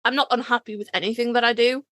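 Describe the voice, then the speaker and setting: Quick, higher-pitched woman co-hosting. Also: steady tone, bright, forceful tone, no echo. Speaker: podcast co-host. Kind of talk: casual chat.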